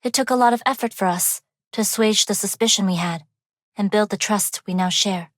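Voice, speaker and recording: Game role: refined young female underworld heiress played by an actress, composed, formal, quietly dangerous; mid-range pitch, close dry sound. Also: fairly quick, no room sound, close to an everyday voice. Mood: calm, cordial. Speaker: video game voice actor. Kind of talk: scripted game character voice lines.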